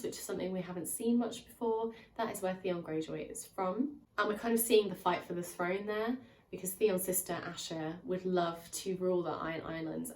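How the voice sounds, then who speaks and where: Woman, low-ish voice, slightly nasal and congested, chatty book review, echoey room, mic a little far off. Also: darkish tone. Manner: chatty, recaps plots with frequent "um" and "kind of". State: slightly nasal, stuffy from a lingering cold. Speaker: book reviewer and channel host. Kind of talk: casual spoiler-filled book review to camera.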